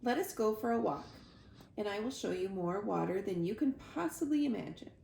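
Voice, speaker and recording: A woman voicing a protective robot mother in a children's storybook, speaking calmly with formal, precise phrasing. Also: mid-range voice, brisk. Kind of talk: children's storybook read-aloud. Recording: roomy echo, clear background noise.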